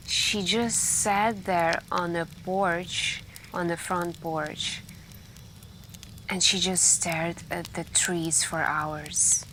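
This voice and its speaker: A soft-spoken woman telling scary stories in an ASMR style, hushed and intimate with pauses and drifts into whispering. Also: lowish voice. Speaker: ASMR roleplay performer playing a friend telling campfire stories. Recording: steady background ambience noise. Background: crackling campfire and night ambience.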